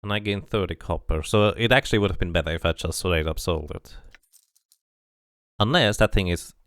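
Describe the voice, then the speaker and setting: A man's voice: sits low, a little fast, a bit bright, dry recording, clean studio sound. A man giving casual game commentary, thinking aloud as he plays and reading bits of game text out. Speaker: streamer playing and narrating a game.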